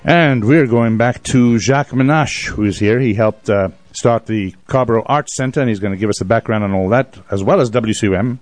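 A man's voice: medium pitch, brisk, hardly any pauses, soft background music. An older man hosting a casual interview on community radio. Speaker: radio host.

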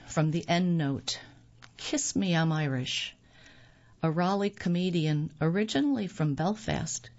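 A woman with a lowish voice reads magazine pieces aloud, steady and measured. The sound is dull and a little lo-fi, with the top end rolled off. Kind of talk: scripted magazine reading. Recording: dull lo-fi recording.

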